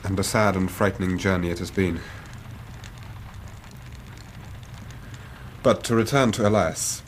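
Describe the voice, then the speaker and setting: An actor playing an earnest, troubled young English gentleman, narrating in a measured, formal way for a radio drama. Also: low voice, slight background noise, lightly acted. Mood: troubled, reflective. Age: young adult.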